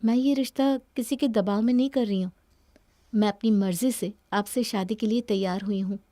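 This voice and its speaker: A woman's voice: medium pitch, steady tone, moderate pace, warm tone, dry recording, natural voice. A woman voicing an understanding young bride-to-be in a cartoon story, polite and reassuring. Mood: calm, reassuring.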